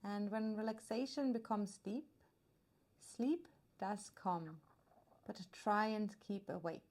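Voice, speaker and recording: A woman guiding a meditation as a yoga nidra teacher, soothing and unhurried, leaving long pauses between her phrases. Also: medium pitch, darkish tone. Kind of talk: guided meditation narration.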